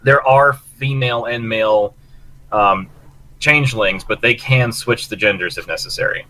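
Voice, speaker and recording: A man's voice: mid-range voice, moderate pace, sharp tone, low-quality recording. A man co-hosting a casual group discussion of a cartoon episode, speaking in an opinionated, emphatic way. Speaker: podcast co-host.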